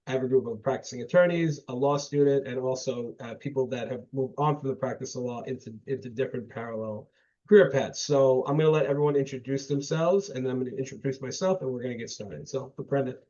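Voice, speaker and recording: A man's voice: medium pitch, mellow. A man moderating a professional panel, upbeat in opening the program. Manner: upbeat, brisk. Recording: dull lo-fi sound.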